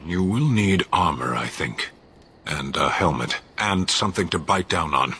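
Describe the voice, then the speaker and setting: An actor playing a stern, stoic giant warrior in a fantasy game, speaking in terse, deadpan, measured phrases. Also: male voice, low-pitched, husky, full character voice. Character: stern, stoic, huge male Qunari warrior. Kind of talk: fantasy video game voice acting.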